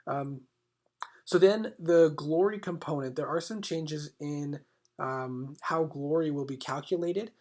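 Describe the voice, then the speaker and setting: A man with a stuffy, congested voice talks through game mechanics for his channel. His voice sits a bit high for a man. He hesitates often and keeps clearing his throat. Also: a little slow, darkish tone. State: congested, slightly sick. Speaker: gaming channel host. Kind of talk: casual explanatory game commentary.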